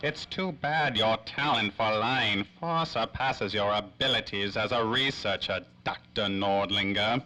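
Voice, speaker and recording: An actor playing a sneering, taunting old crime-boss tycoon in an old-time radio play. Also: male voice, lowish voice, bright, forceful tone. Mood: gloating. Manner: slow, taunting. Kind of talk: 1930s old-time radio drama acting.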